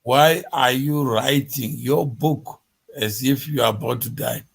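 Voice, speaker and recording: A man hosting an interview, asking probing questions in a slow, deliberate way. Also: mid-range voice, creaky, breathy edge. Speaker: interview host.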